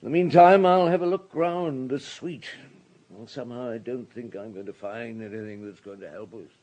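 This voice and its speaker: A male actor plays a police superintendent in a radio play. He is unhurried and quietly authoritative. His questions are courteous, understated and probing. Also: mid-range voice, muffled recording, close to an everyday voice. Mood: businesslike. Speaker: police detective. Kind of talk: radio drama acting.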